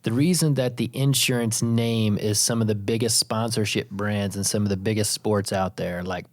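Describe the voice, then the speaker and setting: A man's voice: lowish voice, steady tone, moderate pace, dry recording. A man co-hosting a podcast in casual chat, explaining ideas step by step and teasing his co-host. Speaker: podcast co-host, insurance agency leader.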